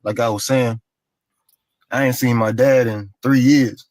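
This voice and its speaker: A man's voice: mid-range voice, fairly even intonation, a little slow, a little mellow, dry recording. A man in a played clip telling a plain, aggrieved personal story and repeating his points.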